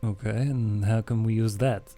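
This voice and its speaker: A man roleplaying a wry, calm male vampire survivor in a tabletop game, with a measured, understated delivery. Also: lowish voice, lightly acted.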